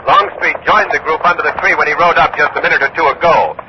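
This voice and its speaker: Casting: actor as male live-news correspondent, 1940s radio drama, rapid polite delivery, dull sound with clear hiss. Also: on the high side, natural voice. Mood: hushed, watchful. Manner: clipped, urgent, polite. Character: urgent on-the-scene male radio reporter at a battlefield. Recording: muffled, noisy old radio recording.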